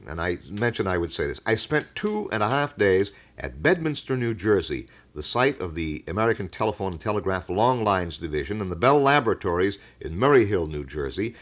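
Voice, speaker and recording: A man hosting a local radio talk show, speaking in wordy, measured and detailed explanations. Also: fairly low pitch. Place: radio studio.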